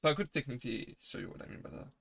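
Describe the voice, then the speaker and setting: Bright-voiced French-accented man streaming. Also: mid-range voice, lively intonation, vocal fry, no room sound. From France. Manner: rambling, halting restarts, self-deprecating laughs. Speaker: VTuber streamer. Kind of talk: casual live Q&A stream.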